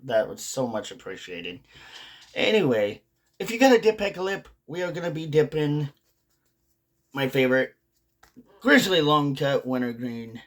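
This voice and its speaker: Slow-talking man rambling casually. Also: mid-range voice, big pitch swings, fairly bright tone. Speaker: video host, hobby commentator. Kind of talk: casual rambling commentary to viewers.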